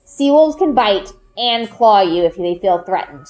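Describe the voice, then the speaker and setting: Storybook father played by a woman: knowing, kindly, soft-spoken, patient explainer with a lower register. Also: expressive, a little slow, natural voice, poor audio. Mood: calm, instructive. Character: gentle, knowing father, a magical nature seeker. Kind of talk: children's book read-aloud.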